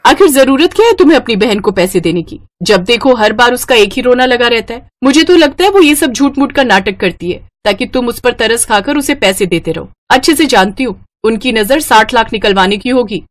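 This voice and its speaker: A woman's voice: fairly high pitch, lively intonation, a little fast, hardly any pauses, lightly acted. A spiteful young wife from a storybook family drama, acted by a woman. She is suspicious and accusatory, with a scolding way of talking. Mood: accusing. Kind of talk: Hindi moral story voice acting.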